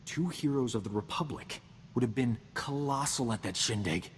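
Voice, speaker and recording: A man's voice: medium pitch, animated, moderate pace, big-room sound, a little hiss or hum, natural voice. An actor playing a cocky, womanizing human doctor who boasts and wisecracks in a video game. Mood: indignant. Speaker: companion character. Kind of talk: video game dialogue acting.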